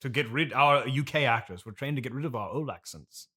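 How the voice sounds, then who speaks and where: A man's voice: mid-range voice, sharp tone, breathy edge. A man playing a pompous, earnest stage actor with a Received Pronunciation British accent, speaking theatrically and grandly as the guest in an improvised comedy interview. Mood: earnest, explaining. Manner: theatrical, grandiose, drawn-out declamation. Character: pompous classically trained stage actor, earnest and self-important.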